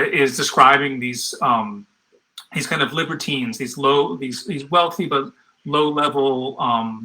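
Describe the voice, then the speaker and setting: A man with a very bright, sharp voice explains his choices as a translator on a panel. He talks thoughtfully and stops often to search for words. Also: mid-range voice, poor audio. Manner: thoughtful, hesitant, many fillers. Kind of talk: panel discussion.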